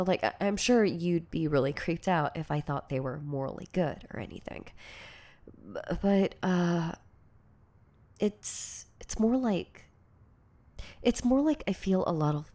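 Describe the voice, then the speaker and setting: A woman playing a shy, insecure, lovestruck girlfriend in an intimate ASMR audio roleplay, speaking in a hesitant, stammering, soft-spoken way. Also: fairly low pitch. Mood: awkward, self-conscious. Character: shy, insecure, lovestruck yandere girlfriend confessing obsessive devotion.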